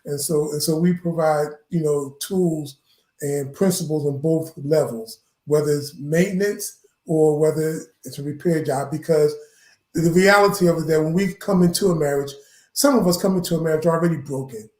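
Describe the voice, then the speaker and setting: Higher-voiced man in an echoey room. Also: normal speed, a little mellow. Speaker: guest, marriage educator. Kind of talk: conversational explanation.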